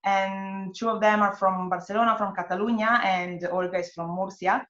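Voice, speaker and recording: A woman with an Italian accent talks as a guest on a video call, friendly and pausing to find her words. The sound is muffled and dull, with a hollow roomy ring around her voice. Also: mid-range voice, fairly even intonation, a bit bright. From Italy.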